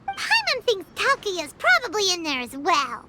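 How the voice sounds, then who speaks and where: A woman's voice: very high voice, wildly swooping pitch, a little slow, sharp tone, a little hiss or hum, full character voice. A woman voicing a tiny, nosy female fairy companion in a game, who talks about herself in the third person and teases the others. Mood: chirpy. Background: music.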